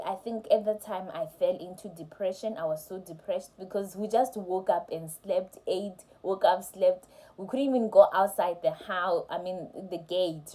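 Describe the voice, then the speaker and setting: A young woman, a teacher, telling a personal story to camera in a candid, conversational way. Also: medium pitch. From South Africa. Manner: candid, conversational, with soft laughs. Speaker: teacher vlogger.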